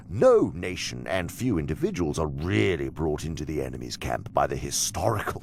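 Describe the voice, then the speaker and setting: An actor playing a cunning, condescending senior demon who lectures with imperious scorn in an audio drama. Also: male voice, low-pitched, gravelly, a little mellow, a little hiss or hum, full character voice. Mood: calculating, instructive. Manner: scornful, deliberate, theatrical lecturing. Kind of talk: audio drama monologue-like instruction.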